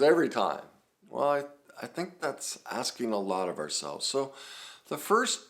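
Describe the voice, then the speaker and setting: A man giving self-help advice straight to the viewer, earnest and reassuring, building emphasis with repeated phrases. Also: lowish voice. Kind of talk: direct-to-camera advice talk.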